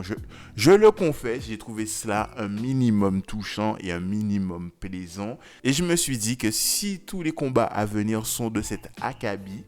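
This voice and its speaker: A man giving casual, conversational video commentary as a review host, opinionated and slangy in his wording. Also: fairly low pitch.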